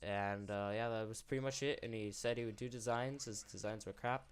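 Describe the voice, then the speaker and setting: A man's voice: lowish voice, fairly even intonation, a little fast, clean tone. A young man hosting an unscripted commentary, rambling and correcting himself, sick and losing his voice. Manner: rambling, self-correcting, candid. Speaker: YouTube channel host.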